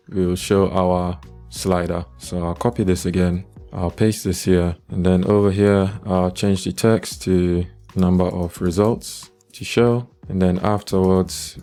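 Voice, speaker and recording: A man teaches programming step by step in a screencast. His voice is low and dark, with very little rise and fall, and he sounds calm and methodical. Faint music plays behind him. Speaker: programming tutorial instructor. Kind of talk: step-by-step screencast coding tutorial.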